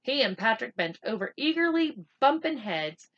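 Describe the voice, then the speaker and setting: A woman reading a children's book aloud as an expressive narrator. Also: a little high, moderate pace, muffled recording.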